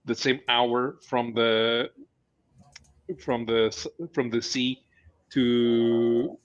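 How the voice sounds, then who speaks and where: A man from Portugal co-hosting a casual live chat, speaking haltingly and chuckling at his own jokes. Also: mid-range voice, little pitch movement. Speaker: co-host. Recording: dull lo-fi mic.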